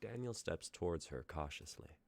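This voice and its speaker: A man reading stage directions aloud for a script reading in a plain, measured way. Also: sits low, expressive, creaky, darkish tone.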